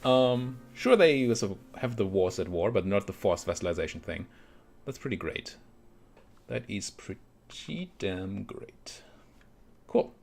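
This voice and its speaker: Low-voiced man muttering through game commentary. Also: animated, a little slow, gravelly, darkish tone, faint music behind. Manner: thinks aloud, muttering to himself. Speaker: video game streamer playing a strategy game. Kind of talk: casual let's play commentary.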